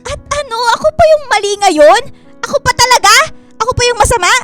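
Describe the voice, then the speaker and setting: A woman's voice: very high voice, lively intonation, a little fast, fairly bright tone, faint music behind. A woman acting an exasperated Filipino migrant-worker wife in a radio drama, scolding and defensive with indignant questions.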